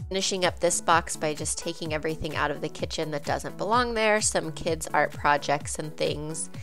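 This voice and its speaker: A woman's voice: sits low, normal speed, over music. A woman in her thirties, a bit congested, chatting candidly through a home declutter vlog voice-over. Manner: chatty and candid, friendly asides to viewers. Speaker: home vlogger.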